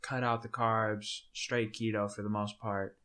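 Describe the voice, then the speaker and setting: Chill guy in his 20s hosting a podcast, kinda low voice, easygoing bro talk. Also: level tone, measured pace, pushed and bright. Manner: laid-back, bro-ish, trailing off and restarting. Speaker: podcast host. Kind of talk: casual chat.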